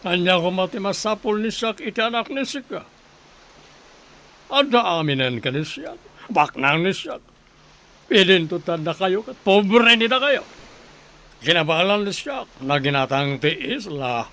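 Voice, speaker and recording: An actor playing a domineering, threatening wealthy master in a radio drama, with a Filipino accent. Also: male voice, sits high, expressive, a little slow, long pauses, pushed and bright, heavily acted. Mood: menacing, imperious.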